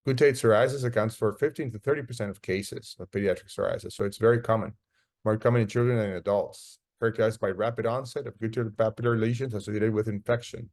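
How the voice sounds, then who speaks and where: Low-pitched man lecturing. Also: darkish tone. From Costa Rica. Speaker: dermatologist giving a lecture. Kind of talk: medical slide presentation.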